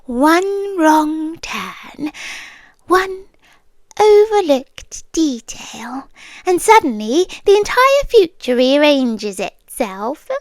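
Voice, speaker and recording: A woman with a British accent playing a possessive, softly menacing yandere girlfriend in a hushed, intimate ASMR roleplay, close to the microphone. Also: high-pitched, sing-song, dry recording, exaggerated voice. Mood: reflective, menacing. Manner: hushed, often whispering, deliberate pauses.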